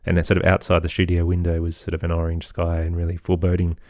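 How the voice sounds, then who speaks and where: An Australian man speaking as an interview guest, thoughtful and halting as he searches for his words in reflective conversation. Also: deep voice, monotone, darkish tone, no echo, studio quality. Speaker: guest, composer and children's podcaster.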